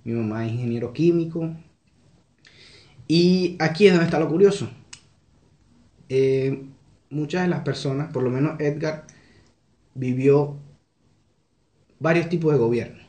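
A man's voice: on the high side, a little slow, pauses often, dark tone, echoey room. A young man from Venezuela with a Venezuelan accent, answering interview questions in an earnest, reflective way. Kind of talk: conversational interview.

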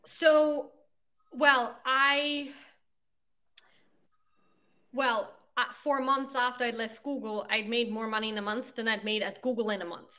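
A woman in her thirties from Austria, a native German speaker, talking through her career in a casual interview with relaxed, reflective speech. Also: a little high, measured pace, pauses often. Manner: relaxed, reflective, uses "like" and "you know" often. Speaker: podcast guest, agency founder.

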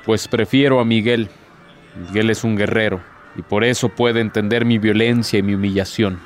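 A man's voice: lowish voice, steady tone, normal speed, lightly acted. Guy doing a bitter proud devil in a radio play, sarcastic n theatrical, bit of music behind. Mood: proud, defiant. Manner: theatrical, rhetorical, sardonic.

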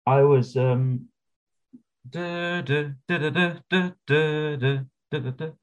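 A man's voice: a little high, slow pace. A man co-hosting a podcast, easygoing, telling anecdotes from school shows. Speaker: podcast co-host. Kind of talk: casual chat about musicals.

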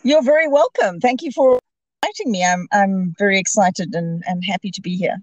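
A woman's voice: medium pitch, brisk, no echo, poor audio. A woman from Zimbabwe, a podcast guest calling in, talking in a hesitant way with many restarts and fillers. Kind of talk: casual interview conversation. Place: calling in remotely.

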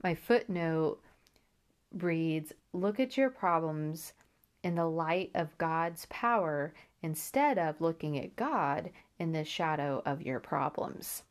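A woman's voice: low voice, slow pace. A woman with a stuffy nose from allergies, hosting a Bible podcast, reading scripture aloud and then reflecting on it earnestly, with frequent restarts.

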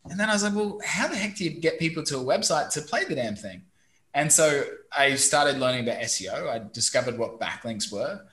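A man's voice: on the high side, expressive, fairly quick, fairly bright tone. An Australian man in his thirties, a guest telling stories in a casual interview. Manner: chatty, storytelling, mild swearing. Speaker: guest, digital marketing company owner.

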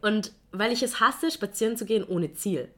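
A woman's voice: medium pitch, normal speed. A young woman in her twenties co-hosting a casual podcast, enthusiastic and giggly as she chats. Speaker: podcast co-host. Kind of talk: casual chat.

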